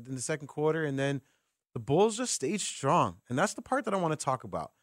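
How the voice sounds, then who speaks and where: A man's voice: medium pitch, a bit bright, dry recording, clean studio sound. A man hosting a sports radio show, talking conversationally as he interviews a guest.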